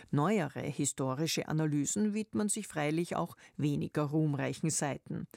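A man reading formal documentary narration calmly. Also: on the high side, gravelly, no room sound, studio quality.

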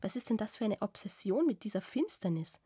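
A woman's voice: mid-range voice, big pitch swings, fairly quick, dark tone, breathy edge, no room sound, clean studio sound, voice pushed up. A woman reading an adult man's words as he scolds his lover with incredulous questions in an audiobook. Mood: chiding. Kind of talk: audiobook reading of a literary novel.

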